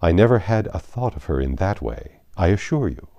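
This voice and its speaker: A man voicing a frank, composed country gentleman from a classic novel, with an emphatic, measured and formal delivery. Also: low voice, very animated, no room sound, muffled recording. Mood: firm, considered.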